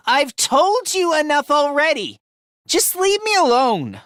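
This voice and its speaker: A man's voice: very high-pitched, huge pitch swings, slow, bright, forceful tone, no echo, clean studio sound, heavily acted. A man voicing a timid, self-loathing, frantic young male fighter in an anime-style video game dub, stammering, self-deprecating and easily panicked. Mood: whiny, bitter.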